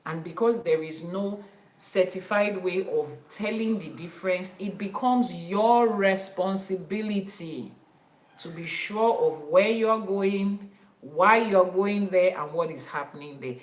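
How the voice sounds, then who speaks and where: A Nigerian-accented woman, a native Yoruba speaker, teaches her online followers with firm emphasis and frequent repetition. Her voice sits somewhat low for a woman. The room around her adds a noticeable echo. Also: measured pace. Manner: emphatic, repeats key phrases for stress. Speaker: Ifa priestess and teacher. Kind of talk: teaching talk to an online audience.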